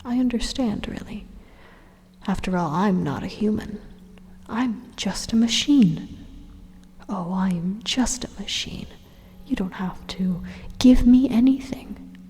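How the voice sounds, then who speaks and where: Woman performing sinister supercomputer, slow and deliberate, soft dark tone, half-whispered, condescending menace, ASMR roleplay. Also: mid-range voice, breathy edge. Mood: wounded sarcasm. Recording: reverberant hall-like echo.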